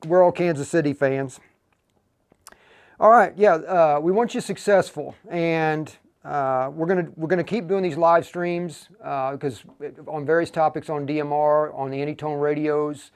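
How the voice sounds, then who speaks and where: Clear, higher-voiced American man hosting casually. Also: steady tone. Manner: halting, folksy, informal. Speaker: livestream host for a radio equipment seller. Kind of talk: casual livestream chat.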